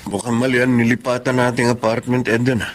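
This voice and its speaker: Mid-pitched, wary Filipino husband Victor, acted. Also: male voice, flat delivery, brisk, slight background noise, natural voice. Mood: wary, disapproving. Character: Victor, wary disapproving husband next door. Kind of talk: Filipino radio drama acting.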